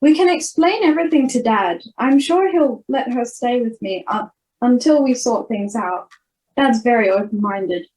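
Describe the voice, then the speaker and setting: A woman's voice: fairly high pitch, expressive, moderate pace, a little mellow, lightly acted, low-quality recording. An Australian girl of ten or eleven playing an earnest, urgent schoolgirl adventurer in an amateur radio play. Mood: reassuring. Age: child, 10 to 11. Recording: home video-call recording.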